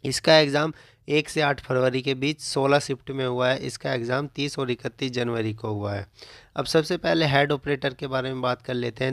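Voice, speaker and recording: A man's voice: mid-range voice, gravelly, no echo, studio quality. A man presenting an informational explainer as an exam coach, mixing in English terms and repeating stock phrases.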